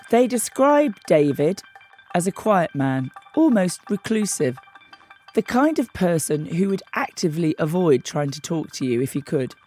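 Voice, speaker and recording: A hoarse woman with a low voice narrates a documentary podcast. She speaks in a measured, deliberate way, letting each detail land. Her voice sounds croaky and a little worn. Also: warm tone. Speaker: host and investigative journalist.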